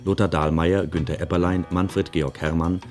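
A man's voice: low-pitched, fairly even intonation, moderate pace, darkish tone. A man announcing for radio, formally reading out end credits.

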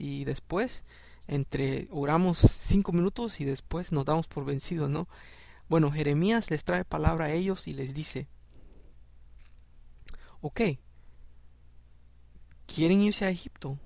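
A man with a Salvadoran accent leads a relaxed Bible study in a gravelly, somewhat high voice. He keeps it conversational and acts out the people in the story. The recording is close and dry, with no room sound. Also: dry recording. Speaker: Bible teacher. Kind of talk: informal Bible study teaching.

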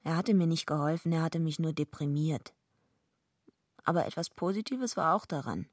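An actress playing a gruff, streetwise young female fighter who speaks bluntly and tersely in a radio drama. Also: moderate pace, no room sound, studio quality. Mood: dry, brooding. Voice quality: low, husky, gravelly. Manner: blunt, terse, laconic first-person telling. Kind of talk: radio drama acting with narration.

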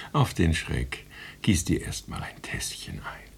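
An actor playing a sardonic, ghostly narrator in a radio play, with a gravelly voice and a commanding, teasing manner. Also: male voice, deep voice, heavily acted. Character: unseen sardonic male narrator, ghostly herald of death. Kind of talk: radio play narration, addressing listeners and figure.

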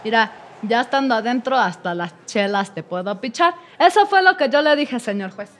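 A woman's voice: a little high, lively intonation, moderate pace, close to an everyday voice. A woman with a Mexico City accent playing a brash woman who talks in street slang. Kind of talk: comedic radio play acting.

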